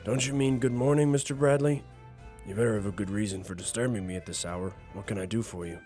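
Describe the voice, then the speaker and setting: A man playing a stern older boss and father in a noir audio drama, gravelly, imperious and measured. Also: low voice, steady tone, darkish tone, soft background music, lightly acted. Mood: irritated. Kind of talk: noir radio drama dialogue.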